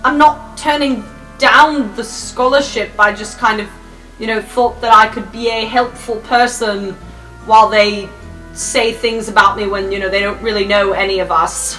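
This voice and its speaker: A woman's voice: medium pitch, steady tone, moderate pace, sharp tone, faint music behind, pitched below the performer's own voice, natural voice, poor audio. A woman playing a timid, polite and earnest young scholarship student in a fantasy tabletop game, speaking hesitantly. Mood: awkward.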